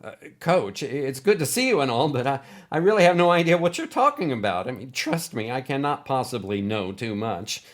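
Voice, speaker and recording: A man voicing a flustered, stammering and excitable man in his thirties in a storybook. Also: medium pitch, a little fast, hardly any pauses, voice pushed up, lightly acted. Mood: nervous. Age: 30s.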